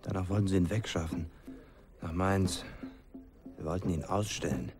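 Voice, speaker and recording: A man in a radio drama, playing someone who reports news in a brisk, matter-of-fact way. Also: low voice, darkish tone, faint music behind, lightly acted. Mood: blunt.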